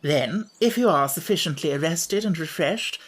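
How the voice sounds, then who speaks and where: A woman's voice: low voice, lively intonation, moderate pace. A woman voicing a pompous, overly polite male insect who fancies himself a scholar, speaking in elaborate phrases.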